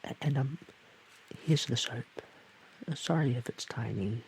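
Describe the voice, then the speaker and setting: A man's voice: medium pitch, measured pace, creaky, rough recording. A man voicing a shy, awkward, friendly slime creature, stammering hesitantly in an audio roleplay addressed to the listener. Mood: awkward, earnest. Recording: hissy background noise.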